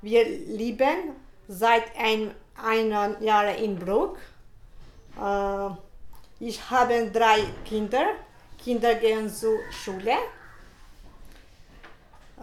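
Woman from Kosovo, slightly high voice, slow simple German, echoey room. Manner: simple short sentences, hesitant. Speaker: course participant.

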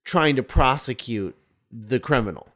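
An American man co-hosting a podcast, chatting casually in a rambling, halting way and repeating his phrases. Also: mid-range voice, lively intonation, fairly bright tone. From United States. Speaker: podcast co-host. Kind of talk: casual film podcast chat.

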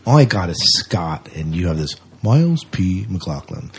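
A man's voice: sits low, sing-song, normal speed, creaky, a little hiss or hum. A man co-hosting a podcast in casual, unscripted chat, often repeating his phrases. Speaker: podcast co-host.

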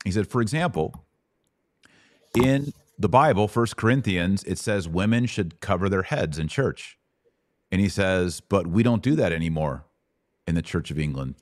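A man's voice: low-pitched, lively intonation, moderate pace, no room sound, studio quality. A middle-aged man hosting a podcast, casually teaching in a live Bible Q&A and explaining things with paraphrased quotes in everyday words. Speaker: podcast host answering Bible questions.

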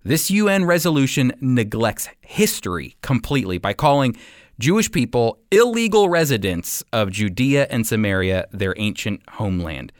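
A man's voice: medium pitch, big pitch swings, moderate pace, no room sound. A man gives a scripted radio commentary in a close, dry recording. His mid-range voice moves widely up and down as he addresses listeners directly. He is emphatic and persuasive throughout. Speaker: host and Bible teacher giving commentary.

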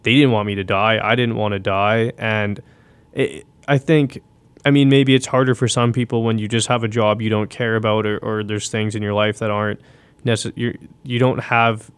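Man with a smooth, flat, low voice. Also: dry recording. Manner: reflective, halting, restarts sentences. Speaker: podcast guest. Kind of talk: casual interview, telling his own story.

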